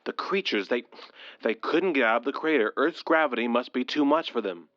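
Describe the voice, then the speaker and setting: An actor playing a shaken husband in an audio drama, stubbornly insisting in halting phrases that all is fine. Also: male voice, mid-range voice, fairly bright tone, no echo, muffled recording, lightly acted. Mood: anxious, insistent. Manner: halting, breathy sighs, insistent. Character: shaken but stubbornly dismissive husband, alien invasion survivor. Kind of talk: modern audio drama acting.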